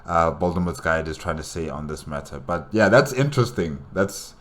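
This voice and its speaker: A man with a very low voice gives casual commentary as host of a reaction video. He speaks haltingly, repeating words as he works through his thoughts out loud. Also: lively intonation. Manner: halting, repeats words while reasoning aloud.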